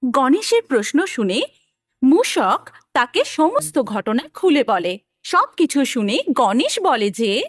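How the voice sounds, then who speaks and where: A woman narrates a scripted children's tale. Her voice is high and very expressive in pitch. The recording is close and dry. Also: takes it slowly, no echo.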